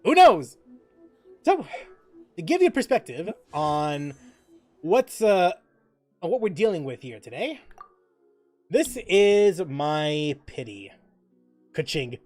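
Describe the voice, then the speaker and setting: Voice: medium pitch, takes it slowly, long pauses. A gaming streamer chatting casually and joking, with mild swearing, while reading chat and commenting on play. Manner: animated, joking, mildly profane, reads chat aloud. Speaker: gaming livestreamer. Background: background music.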